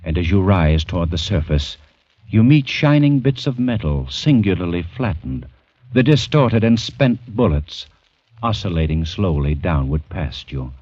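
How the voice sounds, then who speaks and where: An actor playing a grave, deliberate narrator in a vintage radio drama, telling of a condemned man's ordeal with intensity. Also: sits low, big pitch swings, breathy edge, no echo, exaggerated voice. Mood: grave, suspenseful. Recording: vintage radio, dull, loud music behind.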